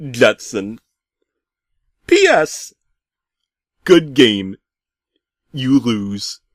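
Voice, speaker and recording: A man voicing a gloating arch-villain who taunts in a grandiose, drawn-out way as a story's letter writer. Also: mid-range voice, wildly swooping pitch, dry recording, clean studio sound, full character voice. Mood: gloating, taunting.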